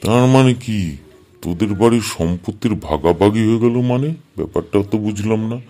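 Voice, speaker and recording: A man's voice: fairly low pitch, dark tone, close to an everyday voice. A man playing a stern, exasperated schoolteacher in a comedy cartoon, scolding and sarcastic. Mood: suspicious, scolding. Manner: scolding, sarcastic, drawn-out hums. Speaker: cartoon voice actor. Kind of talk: Bengali comedy cartoon dub.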